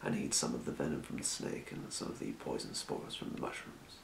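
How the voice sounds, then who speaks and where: Potion merchant male performer, fantasy ASMR: very low gravelly whisper, unhurried, businesslike. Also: roomy sound, slight background noise, exaggerated voice. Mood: calm explaining. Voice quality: breathy deep whisper. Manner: murmuring, unhurried, muttering to himself. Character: helpful old-world fantasy potion shopkeeper, quietly businesslike. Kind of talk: ASMR role play. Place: small room, indoors at a desk.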